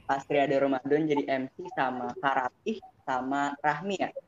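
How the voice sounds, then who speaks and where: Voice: mid-range voice, moderate pace, a crowd in the background. A young interviewer with an Indonesian accent chatting casually as host, on a muffled, lo-fi recording. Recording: muffled lo-fi audio with faint noise.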